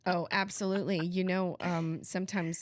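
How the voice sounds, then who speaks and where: A woman with a lowish voice talks casually, as a podcast co-host would when telling a story. The sound is dry and close, with very little room around it. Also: level tone, moderate pace, no echo.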